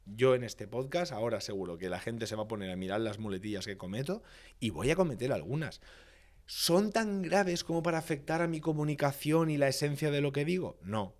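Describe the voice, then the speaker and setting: A man from Valencia, Spain, a public speaking expert, explaining his points in a podcast interview with emphatic, didactic repetition. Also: medium pitch. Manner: didactic, emphatic, lists points and repeats phrases for rhythm.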